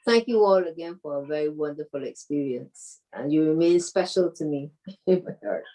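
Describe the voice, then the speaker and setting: Heard a little way from the mic, an older woman speaks in a lowish, mellow voice. Her informal remarks on a group video call are sentimental and grateful. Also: normal speed. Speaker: former cadet giving reunion remarks. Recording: distant microphone on video call.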